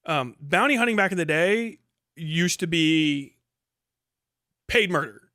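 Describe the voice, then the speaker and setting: A man's voice: a little high, expressive, slow pace, sharp tone, dry recording, clean studio sound. A man giving casual, rambling explanatory commentary as a streamer, informal and swearing freely. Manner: informal, heavily profane.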